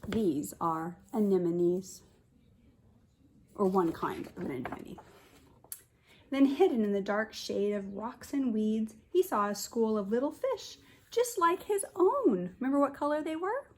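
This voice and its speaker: A woman in her forties reading a picture book aloud to young children as a kindergarten teacher, expressive and explaining as she goes. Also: medium pitch, unhurried. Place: living room at home, indoors.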